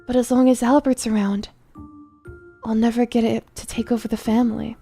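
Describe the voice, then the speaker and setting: A woman playing a resentful younger boy in a drama monologue, brooding in a subdued, bitter and halting way. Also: on the high side, flat delivery, creaky, mellow, faint music behind. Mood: bitter, contemptuous.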